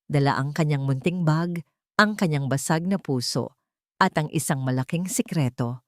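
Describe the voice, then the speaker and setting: A Filipino woman narrates a scripted love story in a lowish voice. Her delivery is measured and dramatic, set in short phrases with pauses. The sound is close and dry. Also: darkish tone, dry recording.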